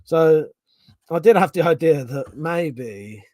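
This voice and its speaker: Middle-aged male streamer, casual and sweary, animated pitch, dry close mic. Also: slow pace, no room sound. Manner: chatty, swears casually, restarts sentences. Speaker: streamer reading chat. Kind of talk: casual live-stream chat.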